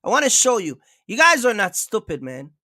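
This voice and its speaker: Guy on a livestream going off about trucking, bright punchy voice, real dry sound, says stuff twice. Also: high-pitched, very animated, brisk, no echo. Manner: emphatic, repetitive, keeps asking viewers for likes. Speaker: trucking channel host. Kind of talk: casual livestream rant.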